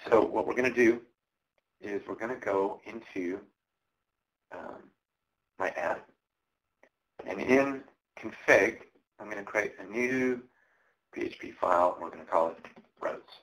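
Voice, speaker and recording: A man with a fairly low voice walks through code live at a tech presentation, explaining each step in a casual, halting way. His voice is going hoarse as he talks. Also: fairly bright tone, low-quality recording. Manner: casual, explanatory, frequent ums and uhs. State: losing his voice. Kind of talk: live coding walkthrough. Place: conference presentation.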